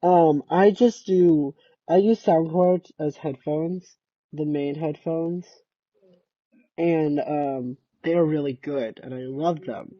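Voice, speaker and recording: A man chatting casually as a game streamer, halting and offhand. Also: on the high side, no room sound, lo-fi sound. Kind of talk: casual livestream chat.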